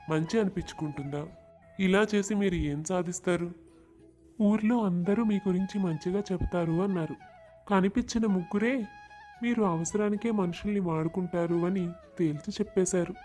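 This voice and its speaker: A man's voice: high voice, a little mellow, lightly acted. A man playing an earnest, respectful young man who reproaches his elders in a moral story. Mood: reproachful. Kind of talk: dramatized moral story dialogue. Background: music.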